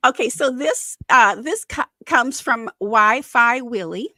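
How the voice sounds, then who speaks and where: A woman from Wisconsin with a Wisconsin accent, co-hosting a casual football chat, chatty and quick to laugh. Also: fairly high pitch, lively intonation, sharp tone, no echo. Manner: chatty, laughs often, many fillers. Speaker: podcast co-host.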